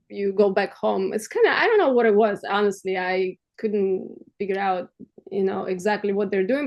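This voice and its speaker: A woman from Armenia, raised in Russia, speaking English as a guest, earnest and halting, sharing her story with light laughter. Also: mid-range voice. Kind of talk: personal testimony in a conversation.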